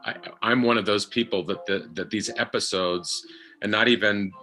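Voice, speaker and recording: Hesitant man with a bright, forceful voice. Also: on the low side, steady tone. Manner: hesitant, halting. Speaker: podcast actor. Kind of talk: personal reflective discussion.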